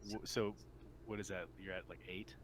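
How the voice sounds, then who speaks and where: A man with a fairly low voice chats casually during a tabletop roleplaying game. There is a faint noise in the recording. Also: level tone, brisk, poor audio.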